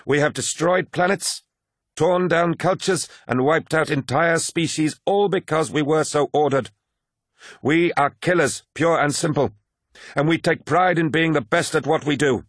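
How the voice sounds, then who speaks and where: A proud warrior commander, acted by a male audiobook reader, addresses his troops. The delivery is forceful and declamatory, pitched well up, in a very dry recording. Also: a little slow, no room sound. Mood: rousing pride. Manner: declamatory, forceful speech-making. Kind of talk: dramatic audiobook character voice.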